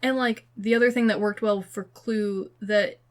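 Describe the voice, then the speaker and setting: A woman's voice: medium pitch, steady tone, moderate pace. Casual woman podcast co-host chatting. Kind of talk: casual movie discussion.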